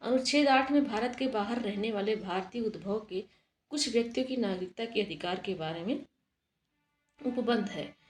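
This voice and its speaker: A woman's voice: medium pitch, normal speed, roomy sound, faint music behind. A woman teacher giving an exam-prep lesson, explaining steadily and asking and answering her own questions.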